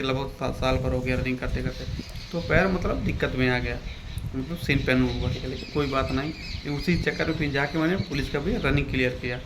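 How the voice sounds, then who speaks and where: A young man gives casual, rambling, repetitive advice to his viewers as a vlogger, often addressing them as brother. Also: medium pitch. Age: young adult. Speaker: vlogger, police recruit. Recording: clear ambient background noise.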